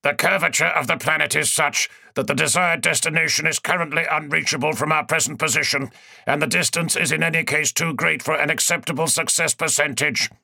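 A man's voice: a little high, fairly quick, gravelly, pushed and bright, dry recording, voice pushed up, heavily acted. A man voicing a cold, literal, machine-like male alien tech-priest who reports figures precisely. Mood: clinical.

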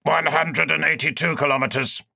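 A cold mechanical tech-priest speaks in a precise, literal way with a bright, slightly raised voice, put on by a male narrator. Every word sounds like a report. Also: fairly high pitch, fairly even intonation, normal speed, creaky, dry recording, voice pushed up, heavily acted. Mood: clinical.

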